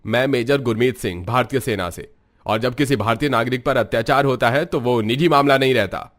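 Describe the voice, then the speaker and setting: An actor voicing a firm, kind-hearted army major in a cartoon story, speaking with calm authority. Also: male voice, mid-range voice, a little fast, natural voice. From Punjab. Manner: firm, warm-hearted, commanding. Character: kind, upright army major, middle-aged man. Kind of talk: cartoon voice acting.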